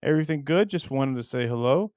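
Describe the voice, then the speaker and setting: A middle-aged man hosting a livestream with casual, rambling chat, blunt and crude in his joking, going between muttering and loud bursts. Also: medium pitch, lively intonation, a little fast, dry recording, clean studio sound. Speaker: livestream show host.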